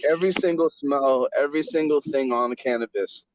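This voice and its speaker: A man's voice: mid-range voice, brisk, a little hiss or hum. A man hosting a casual podcast chat, rambling with many stammered restarts and swearing with easy enthusiasm. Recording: dull dry lo-fi recording.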